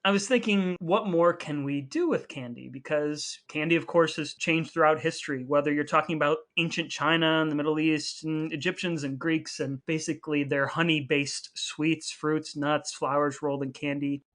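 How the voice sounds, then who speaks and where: A man's voice: on the high side, steady tone, normal speed, few pauses, fairly bright tone. A man in his forties co-hosting a podcast, talking casually in a wry, explanatory way. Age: 40s. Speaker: podcast co-host. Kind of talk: casual conversational podcast talk.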